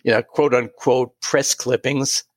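A man in his late sixties telling a personal story in an interview, pausing and restarting often. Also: medium pitch, steady tone, no room sound. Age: 60s. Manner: warm, halting anecdotal storytelling with many restarts. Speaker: guest.

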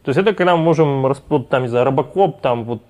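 A man's voice: medium pitch, quick pace, darkish tone. A man, a company founder, talking casually in a podcast interview and rambling with frequent restarts. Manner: rambling, many restarts and fillers. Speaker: company founder and CEO guest.

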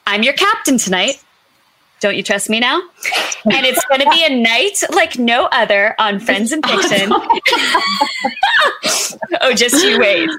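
Upbeat lady hosting a book show, high lively voice, super excitable, close dry sound. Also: no echo. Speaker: host of an author talk show.

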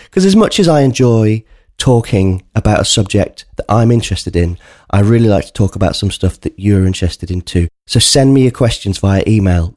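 A man's voice: on the low side, dark tone, no room sound, studio quality. A man with a cold, sounding bunged up, chatting earnestly and directly to listeners as a podcast host. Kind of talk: casual spoken podcast introduction.

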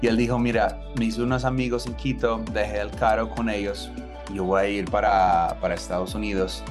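A man from the United States speaking Spanish with an American accent, telling his life story in a halting way with many restarts. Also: lowish voice, level tone. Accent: American English. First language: English. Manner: halting, restarts words, chuckles at himself. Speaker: entrepreneur interviewee. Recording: guitar music underneath.

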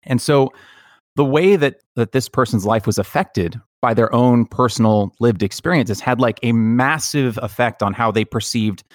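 A man hosting a podcast, telling personal stories in an earnest, reflective, halting way. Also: medium pitch, dry recording, studio quality. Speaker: podcast host. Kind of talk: personal storytelling in conversation.

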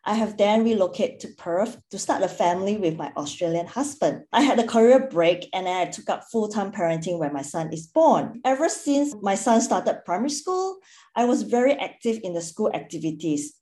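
Lively, mellow-voiced woman from Singapore. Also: medium pitch. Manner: earnest, animated, acts out quoted remarks. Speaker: podcast guest, school board chairperson. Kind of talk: conversational interview answers.

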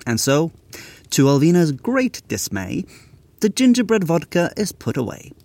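A man's voice: a little high, very animated, unhurried, darkish tone, dry recording. A man narrating a scripted comic tale in rhyme in a dramatic storytelling manner. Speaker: co-narrator and writer.

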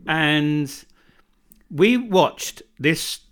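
A man's voice: fairly high pitch, expressive, very slow, a bit bright, smooth. A man from Britain co-hosting a casual podcast chat at home, rambling and often restarting his sentences. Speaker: podcast co-host. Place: home in northern Bosnia.

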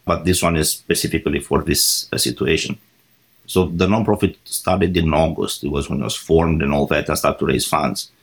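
Deep-voiced man from Romania. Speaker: guest. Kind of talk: interview answers.

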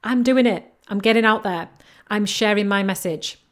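A woman with a Northern English lilt, somewhere between Yorkshire and Geordie, coaching listeners on her own podcast. Her voice sits at a middling pitch, and she talks in an upbeat, encouraging way, hammering points home by saying them again. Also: moderate pace. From North East England coast. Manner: upbeat, encouraging, repeats key phrases. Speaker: podcast host, business coach. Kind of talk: solo podcast advice.

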